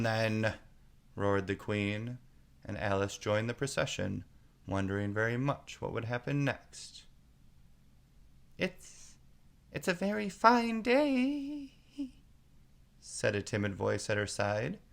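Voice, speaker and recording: A man's voice: fairly low pitch, vocal fry. A man narrating a children's storybook as a read-aloud.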